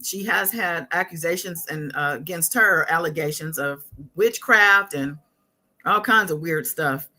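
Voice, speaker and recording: Bright-voiced woman chatting on a live stream. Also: lowish voice. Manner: conversational, halting, thinking aloud. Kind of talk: casual live commentary, reading and answering viewer comments.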